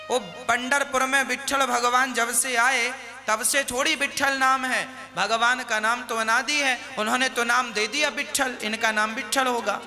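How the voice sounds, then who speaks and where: A man's voice: extremely high pitch, pushed and bright, in a large hall. A man preaching a devotional sermon, scolding and questioning his listeners with rhetorical questions.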